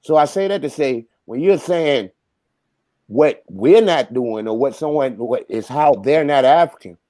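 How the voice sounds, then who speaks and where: A man's voice: medium pitch, lively intonation, measured pace, warm tone, dry recording. Lecturing man with leading questions. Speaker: debate participant. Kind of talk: heated group debate.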